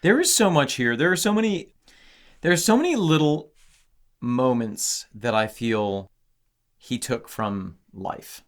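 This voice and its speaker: A man co-hosting a casual podcast, talking excitedly about song lyrics with many restarts; English is not his first language. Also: medium pitch, unhurried. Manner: animated, exclamatory, lots of restarts. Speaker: podcast co-host.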